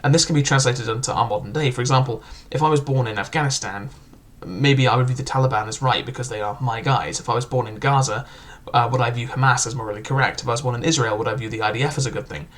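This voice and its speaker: A man's voice: medium pitch, fast talker, hardly any pauses, fairly bright tone. A young man casually reviewing a book, chatty and loose, with frequent filler words and restarts.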